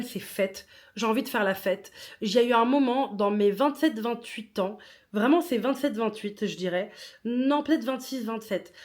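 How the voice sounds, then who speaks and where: Chatty woman mixing in English words. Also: medium pitch. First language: not French. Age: 30s. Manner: chatty, switches into English mid-sentence, laughs at herself. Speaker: podcaster and writer. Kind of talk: casual personal monologue.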